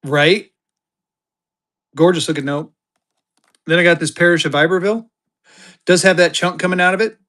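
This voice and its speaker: High-voiced man hyping auction bids. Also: a bit bright. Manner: hypes bids in bursts, talks about himself in third person. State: tired. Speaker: live auction host selling banknotes. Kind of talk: live-stream auction patter.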